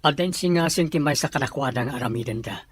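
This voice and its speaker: A man's voice: medium pitch, fast talker, husky, dry recording, natural voice. A man playing a sober boss who explains matters plainly in an audio drama, with an Ilocano accent. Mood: grave, explaining. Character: sober male boss, addressed respectfully as sir.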